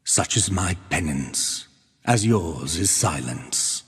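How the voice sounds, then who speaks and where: Dark-fantasy game penitent: husky, breathy, very slow and solemn, ringing in a long cavernous reverb. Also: male voice, low voice, animated, heavily acted. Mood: grave, foreboding. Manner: solemn, measured, portentous. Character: solemn ancient penitent narrator and witness, grave and devout. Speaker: narrator. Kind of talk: archaic scripted video game narration.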